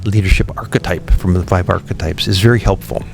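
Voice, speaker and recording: A man speaking in a very low voice with a gravelly edge, talking through his ideas and pausing on ums as he goes. Clear music plays beneath him for the whole stretch. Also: steady tone. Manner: thoughtful, many ums and restarts. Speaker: podcast guest, company founder. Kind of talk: relaxed interview conversation.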